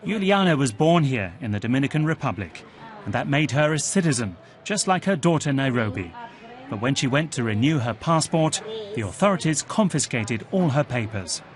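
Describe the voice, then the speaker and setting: Man, news voice-over, scripted, measured, mid pitch. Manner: measured, even reporting delivery. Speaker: news reporter.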